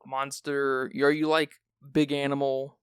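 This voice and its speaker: A man's voice: medium pitch, level tone, unhurried, clear, resonant tone, no room sound, studio quality. A man hosting a film podcast who sounds sleepy, chatting casually and rambling with restarts. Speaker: podcast host.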